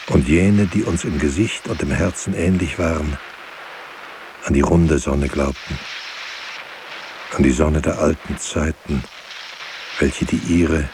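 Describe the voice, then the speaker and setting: An actor playing a grave, bitter witness mourning the dead in a poetic radio drama, solemn and rhetorical. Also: male voice, a bass voice, background noise, exaggerated voice.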